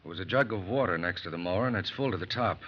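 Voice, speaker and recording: A terse, observant government agent with a low voice that moves with lively inflection. He sounds matter-of-fact, in a muffled old-time radio drama. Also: very fast, slightly rough. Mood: urgent. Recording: muffled, slightly noisy old radio recording.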